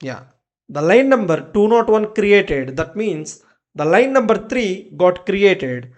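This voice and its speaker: Software tutorial voice, slow pace, medium pitch, short clipped instructional phrases, step-by-step delivery. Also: very animated. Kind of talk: step-by-step screen-share tutorial narration.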